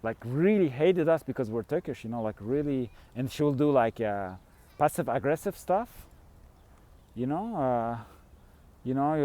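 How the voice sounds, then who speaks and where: A man's voice: medium pitch, darkish tone. A middle-aged man from Switzerland, rambling candidly through a casual vlog monologue with the odd chuckle. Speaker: fasting coach and vlogger.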